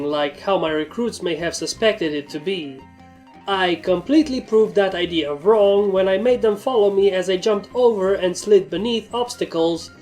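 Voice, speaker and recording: A woman's voice: medium pitch, darkish tone. Woman narrating a story over music. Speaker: fan fiction reader.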